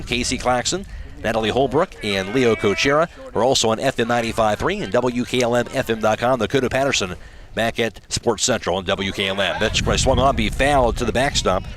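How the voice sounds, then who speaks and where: Give a man's mid-range voice working as a radio baseball announcer. He calls the game live, pitch by pitch, in short clipped fragments. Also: fairly bright tone. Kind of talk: live sports play-by-play commentary. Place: outdoor ballpark broadcast.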